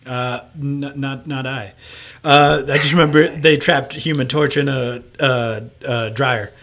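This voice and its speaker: A man's voice: medium pitch, steady tone, a little slow, a bit bright. A man co-hosting a casual movie chat, laid-back, laughing often and reading out viewer comments. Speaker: podcast co-host reading chat.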